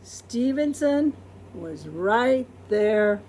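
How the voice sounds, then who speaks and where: A woman's voice: a little high, big pitch swings, very slow, a little mellow, a little hiss or hum. A woman reading a children's picture book aloud expressively, acting out quoted words and putting questions to listeners. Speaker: storybook reader.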